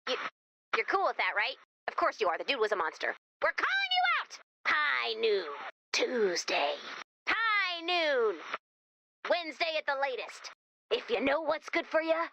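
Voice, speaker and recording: A woman's voice: sits high, pushed and bright, dry recording, exaggerated voice. A woman voicing a quirky, wisecracking young American guide character in a video game, with a chatty, theatrical manner. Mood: mock-bold challenge. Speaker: mission guide character addressing the player commander.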